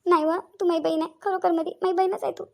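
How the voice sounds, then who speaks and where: A woman's voice: extremely high pitch, level tone, very fast, creaky. A woman playing a tender, earnest young married village woman in a family drama, with a Varhadi Marathi accent and an emotive, pleading way of repeating phrases. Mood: tender, consoling. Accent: Varhadi Marathi from Vidarbha. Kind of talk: amateur family drama acting.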